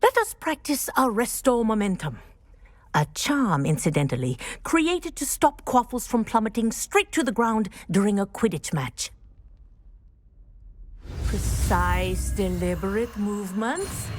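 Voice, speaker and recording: An actress playing a dignified, principled female professor in a game, speaking in a measured, precise, formal way. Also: medium pitch, animated. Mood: instructive, approving. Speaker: professor and flying teacher. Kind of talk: scripted game dialogue, storytelling.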